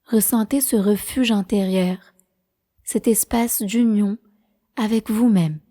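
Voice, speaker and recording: A woman guides a meditation at a very unhurried pace. Her voice is soft-edged and mellow, at a medium pitch. She gives each instruction in a calm, measured, soothing manner. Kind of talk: slow guided prenatal meditation narration.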